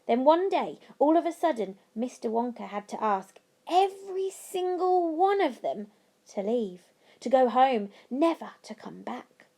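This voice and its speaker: A woman voicing a kindly old grandfather who tells a mysterious tale in a deliberate, dramatic way. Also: high-pitched, big pitch swings, warm tone, heavily acted. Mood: earnest storytelling.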